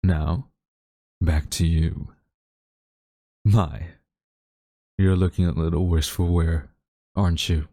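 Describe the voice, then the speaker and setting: A man playing a haughty, wistful government agent in a dramatic audio roleplay, speaking in a smug, deliberate, theatrical way to a captured former friend. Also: low-pitched, fairly even intonation, vocal fry, dark tone, dry recording, clean studio sound, heavily acted. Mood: smug taunting.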